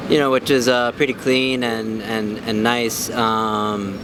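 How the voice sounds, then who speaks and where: A man giving casual, hesitant, halting interview answers. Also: medium pitch, little pitch movement. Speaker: member of the public being interviewed. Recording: noisy outdoor urban street with traffic.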